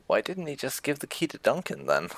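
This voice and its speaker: A man's voice: medium pitch, huge pitch swings, brisk. A man giving casual gameplay commentary as host, with dry, muttered asides. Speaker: gaming channel host.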